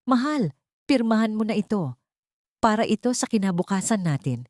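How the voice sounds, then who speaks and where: A woman voicing a caring, soft-spoken wife who coaxes tenderly while secretly scheming, in an audio story. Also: medium pitch, very animated, normal speed, no echo, studio quality, voice pushed up, natural voice. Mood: tender coaxing. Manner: tender, coaxing.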